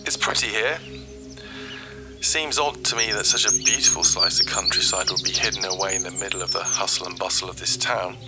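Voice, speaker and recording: A man playing a curious professor who muses aloud, pausing as he records field notes in an audio drama. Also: sits low, steady tone, close to an everyday voice. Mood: curious, musing. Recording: music and birdsong behind voice.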